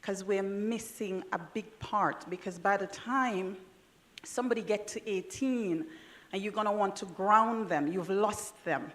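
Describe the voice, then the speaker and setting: A woman's voice: mid-range voice, measured pace, a bit bright. A woman with a Jamaican accent, asking a question from the audience at a conference and making an earnest, persuasive case. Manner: earnest, persuasive, folksy phrasing.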